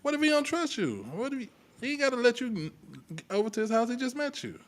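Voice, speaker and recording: A man's voice: very high-pitched, big pitch swings, fast. An American man arguing his points casually on a podcast, blunt and slangy. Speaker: podcast panelist. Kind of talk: casual debate.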